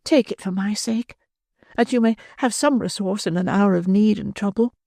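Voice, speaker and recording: A woman voicing a gentle, compassionate young lady who speaks in an earnest, tender way. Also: medium pitch, moderate pace, dry recording, clean studio sound, voice pushed up, close to an everyday voice.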